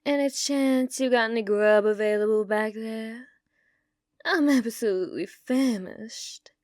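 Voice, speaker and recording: A woman playing a flirtatious, teasing, dominant female bounty hunter in a western ASMR roleplay, coaxing with drawn-out endearments. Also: a little high, darkish tone, dry recording, clean studio sound, lightly acted. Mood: hungry, playful complaint. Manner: coaxing, drawling endearments, drops into breathy whispers. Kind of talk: ASMR roleplay, scripted character acting.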